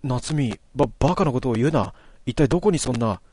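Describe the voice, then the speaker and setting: A man's voice: medium pitch, animated, fairly quick, darkish tone, no room sound. A man voicing a flustered young friend who stammers in shock. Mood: startled. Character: startled young friend, panicky.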